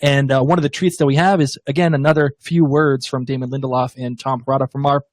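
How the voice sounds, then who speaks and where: A man's voice: mid-range voice, flat delivery, fast, no room sound, studio quality. A man co-hosting a TV recap podcast, enthusiastic and rambling, laughing easily. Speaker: podcast co-host. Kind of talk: casual TV recap chat.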